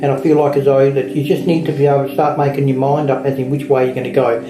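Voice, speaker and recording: A woman card reader talks quickly in an echoey room with music playing behind her. She explains in a casual, repetitive way and keeps checking that the listener follows. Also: extremely low pitch, little pitch movement. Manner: explanatory, repetitive, seeks agreement. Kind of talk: casual one-to-one card reading. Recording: roomy echo with music behind.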